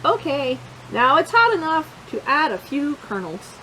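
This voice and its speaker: A woman plays an eager young storybook boy, hungry and cheerful, busy at the stove. The voice is high and bouncy with lively pitch swings, over a light hiss. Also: measured pace, exaggerated voice, low-quality recording. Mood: busy, focused.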